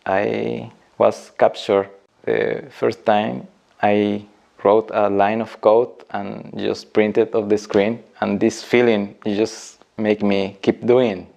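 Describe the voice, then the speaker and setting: Slow, gravelly Mexican-accented man. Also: fairly low pitch, little pitch movement, darkish tone. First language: Spanish. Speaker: web developer, bootcamp student. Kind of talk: personal documentary interview.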